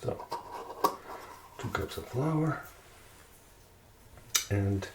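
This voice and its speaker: A man's voice: lowish voice, animated. A man chatting casually and unhurriedly as he hosts an ASMR-style cooking video in his kitchen. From Quebec, Canada. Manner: unhurried, talking to himself, asking viewers questions. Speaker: home cooking host. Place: home kitchen. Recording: distant mic, clearly noisy, clicking sounds.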